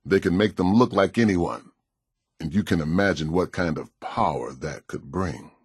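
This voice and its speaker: An actor playing a kindly, world-weary pastor in a video game, with an American accent and a gentle, folksy, plain-spoken way of talking. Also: male voice, low voice, moderate pace, breathy edge, no room sound, clean studio sound. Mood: somber.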